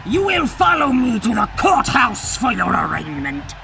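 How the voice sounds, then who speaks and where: Officious mole-man lawman, gravelly and swooping, slow pompous bark for an adventure radio play. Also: very high-pitched, wildly swooping pitch, sharp tone, faint music behind, heavily acted. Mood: barking, suspicious. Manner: barking, pompous legalese. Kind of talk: adventure audio drama acting.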